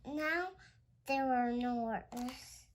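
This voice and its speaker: High-pitched child reading slowly aloud. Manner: halting, stumbles over words. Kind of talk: halting read-aloud of a Christmas picture book.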